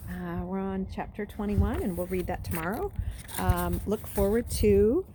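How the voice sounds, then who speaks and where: A woman reading a story aloud as an expressive narrator. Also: mid-range voice, a little slow, a little hiss or hum, poor audio. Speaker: read-aloud reader.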